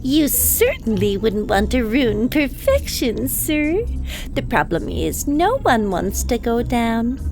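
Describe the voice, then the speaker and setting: An actress playing a long-suffering alien ship's officer in a comedic audio drama, dry, sarcastic and wearily officious. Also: female voice, a little high, big pitch swings, takes it slowly, over music, exaggerated voice. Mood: dutiful, dry.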